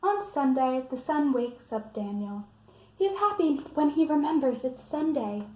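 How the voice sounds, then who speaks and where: A woman's voice: high-pitched, sing-song, moderate pace, dark tone. A woman giving a scripted book talk and reading a picture book aloud. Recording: roomy echo, faint background noise.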